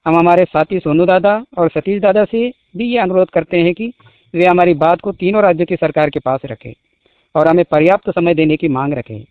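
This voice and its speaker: A man reading out a formal statement as a spokesman, in measured short phrases, over a phone call. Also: high voice, dry recording. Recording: muffled phone-line call recording.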